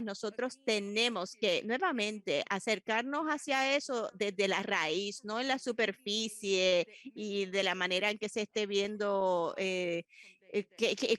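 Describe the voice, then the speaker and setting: A woman interprets a panel discussion live over an online meeting. Her voice sits in a middle range, and her delivery is halting, full of restarts and quick self-corrections as she keeps pace with the speakers. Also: mid-range voice, fairly bright tone, dry recording. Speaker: simultaneous interpreter.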